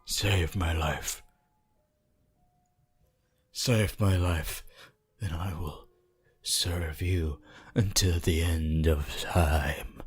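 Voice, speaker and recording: A man playing a weakened, bloodthirsty vampire in an ASMR roleplay, pleading and menacing in a theatrical, archaic way, with a husky voice that often drops to a whisper. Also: sits low, steady tone, heavily acted. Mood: devoted begging. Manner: slow, halting, archaic and dramatic delivery.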